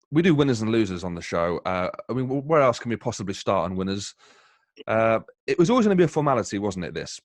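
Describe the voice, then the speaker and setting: A man's voice: fairly low pitch, no echo, studio quality. A man hosting a casual football podcast, asking long, jokey questions. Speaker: podcast host.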